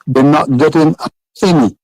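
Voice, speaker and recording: A man's voice: fairly high pitch, normal speed, a little mellow, no room sound, low-quality recording. A man from Jamaica with a Jamaican accent calling in to a talk show and voicing indignant complaints. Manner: indignant, lists grievances. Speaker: caller. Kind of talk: call-in complaint.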